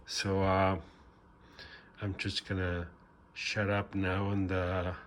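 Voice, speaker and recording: A man's voice: low-pitched, completely flat delivery, takes it slowly, fairly bright tone, slight background noise. A man with a Mexican accent casually unboxing and reviewing a microphone in his woodworking shop, rambling with dry self-mocking humor and many fillers. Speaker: woodworking channel host.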